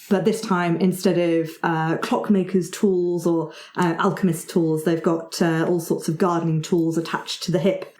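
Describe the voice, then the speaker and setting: A woman narrating a tabletop roleplaying game as game master, improvising her descriptions in a halting way. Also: fairly low pitch, darkish tone. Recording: echoey room sound.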